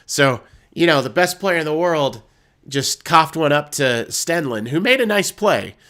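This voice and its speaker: A man hosting a postgame hockey stream, talking loosely with many fillers and restarts, a little hoarse from being sick. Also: fairly high pitch, expressive, measured pace, bright, forceful tone. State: hoarse from illness. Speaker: hockey podcast host. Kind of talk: casual postgame analysis.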